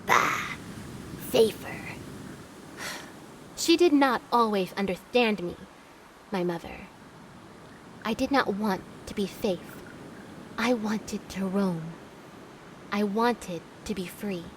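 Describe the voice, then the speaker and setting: A woman playing a bitter, adventurous young seafarer in an audio drama, recounting her youth in deliberate, theatrical storytelling. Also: mid-range voice, a little hiss or hum, natural voice, low-quality recording. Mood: bitter, defiant. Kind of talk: scripted dramatic monologue narration.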